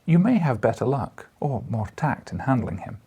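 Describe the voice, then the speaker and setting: Man voicing a crabbed old Scottish editor, husky. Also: mid-range voice, big pitch swings, moderate pace, warm tone, voice pushed up. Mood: coaxing. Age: older.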